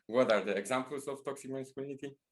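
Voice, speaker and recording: A man's voice: medium pitch, fast talker. A man from Poland with a Polish accent, presenting a podcast as host in a scripted style.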